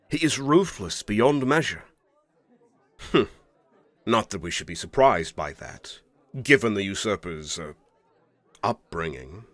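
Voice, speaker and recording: A man's voice: fairly low pitch, fairly bright tone, no echo, heavily acted. An actor playing a stern, imperious older king in an audio roleplay drama, speaking formally and with weighty dramatic emphasis. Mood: scornful.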